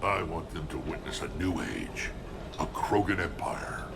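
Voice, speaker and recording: An actor playing a proud, stubborn alien clan leader in a video game, blunt and commanding. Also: male voice, extremely low pitch, lively intonation, unhurried, vocal fry, very noisy, exaggerated voice. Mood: determined.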